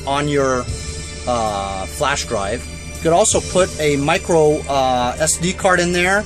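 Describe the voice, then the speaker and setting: A man giving a casual, off-the-cuff gadget review in a laid-back, colloquial way, as a vlogger talking inside a van. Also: on the high side.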